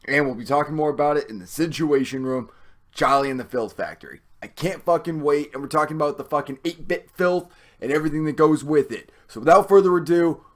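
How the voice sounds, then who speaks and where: A hoarse man hosting his own video channel, talking in a rambling, excitable way full of swearing. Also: on the high side, a little fast. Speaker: host. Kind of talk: casual video rant.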